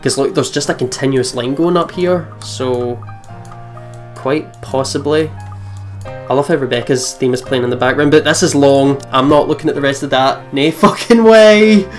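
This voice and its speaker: Excitable young male gamer, medium-pitched. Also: normal speed, soft background music. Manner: excitable, sweary. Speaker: let's play host. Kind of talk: casual gaming chat.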